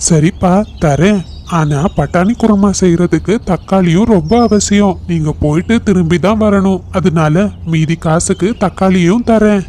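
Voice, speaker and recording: A woman's voice: on the low side, normal speed, dark tone, slight background noise, lightly acted. A woman voicing a helpful grown-up male pigeon shopkeeper in a children's cartoon story. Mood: advising.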